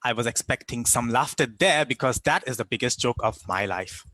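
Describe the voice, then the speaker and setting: A man's voice: mid-range voice, animated, moderate pace, bright, forceful tone, dry recording. A man from India performing a stand-up comedy monologue to a live room.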